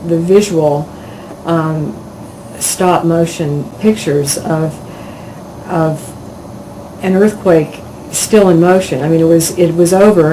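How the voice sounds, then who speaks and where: A woman, a volunteer doctor, reflecting on her experience and pausing often with "um". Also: fairly low pitch, poor audio. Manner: reflective, hesitant with "um". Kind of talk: recounting experience to camera. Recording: steady air-conditioning hiss.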